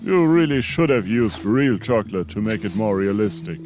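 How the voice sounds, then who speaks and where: Man doing a smug deadpan commentator, curt one-liners, lowish voice, music behind. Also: normal speed, natural voice. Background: background music.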